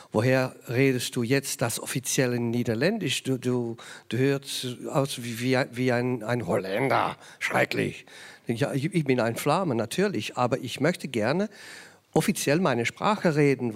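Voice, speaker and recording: Flemish-accented man speaking careful German. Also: medium pitch. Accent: Flemish Dutch. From Belgium, Flanders (Ghent). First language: Dutch (Flemish). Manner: searching for words in German, frequent restarts and fillers. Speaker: author, panel guest. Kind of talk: panel discussion answer.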